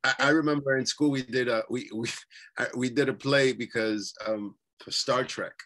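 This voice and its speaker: A man's voice: medium pitch, fairly even intonation, moderate pace, a bit bright. A man in his forties with a New York accent, recalling his childhood in a rambling, casual way, full of fillers and restarts, speaking on a video call from a bedroom at home. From New York City. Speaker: guest. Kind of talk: casual interview chat. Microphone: webcam on a video call.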